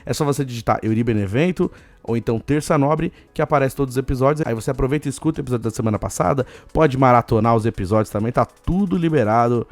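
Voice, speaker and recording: A man with a Brazilian accent hosting a casual solo podcast, hoarse and chatty, giving listeners shout-outs. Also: mid-range voice, fast, dry recording. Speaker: podcast host.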